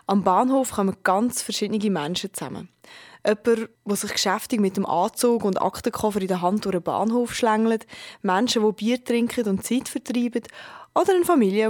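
A female radio reporter, reading a scripted news feature in a measured, even way. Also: mid-range voice.

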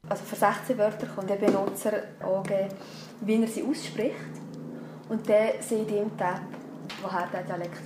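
A woman's voice: medium pitch, flat delivery, moderate pace. A woman with a Swiss German accent explaining things off the cuff as a researcher in an interview. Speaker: phonetics researcher being interviewed. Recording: echoey room, faint background noise.

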